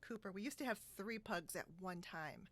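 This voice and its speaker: A woman's voice: medium pitch, moderate pace, a bit bright, no echo. Chatty woman podcast host, very dry sound. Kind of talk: casual interview chat. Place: upstairs room at home.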